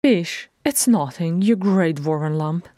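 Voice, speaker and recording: A woman's voice: fairly low pitch, expressive, a little slow, warm tone, no room sound, clean studio sound. A woman voicing a fussy, scolding middle-aged male hobbit in a fan audiobook reading, chiding and exasperated.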